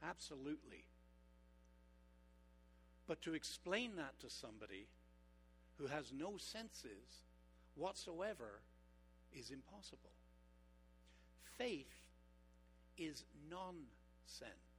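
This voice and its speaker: A man preaching a sermon as pastor, engaging his congregation with rhetorical questions and slowing down for emphasis. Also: fairly high pitch, big pitch swings, fairly bright tone, a little hiss or hum. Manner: slows down for emphatic points.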